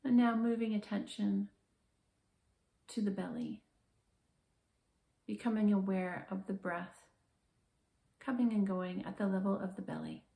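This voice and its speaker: A woman guiding a body scan meditation in a calm, gentle, measured manner with slow, soothing pauses. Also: medium pitch, gravelly, reverberant, rough recording. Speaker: meditation guide, therapist.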